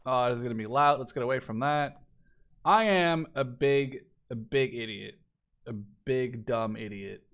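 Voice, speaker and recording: A man's voice: medium pitch, a little slow, fairly bright tone, lo-fi sound. A man in his twenties giving casual, rambling gameplay commentary, often breaking off mid-sentence and swearing. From Canada or the Netherlands. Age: 20s. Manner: rambling, self-interrupting, sweary. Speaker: gaming video creator.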